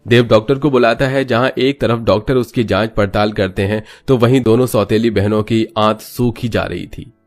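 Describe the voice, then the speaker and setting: A man's voice: fairly low pitch, fairly even intonation, normal speed, few pauses. A man narrating a scripted moral story as a storyteller. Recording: music bed behind voice.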